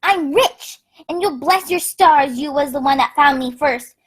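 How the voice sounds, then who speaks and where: Squeaky, half-mad castaway Gunn. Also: female voice, a little slow, fairly bright tone. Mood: excitable, wary. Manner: rambling, whooping, folksy. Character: Ben Gunn, excitable half-mad marooned old pirate castaway.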